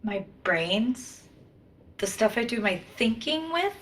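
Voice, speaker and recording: A woman's voice: on the high side, sing-song, slow, a bit bright, slight background noise, exaggerated voice. A woman playing an indignant, pouty, brainy ship science officer in a comedic sci-fi play, prim and huffy in manner.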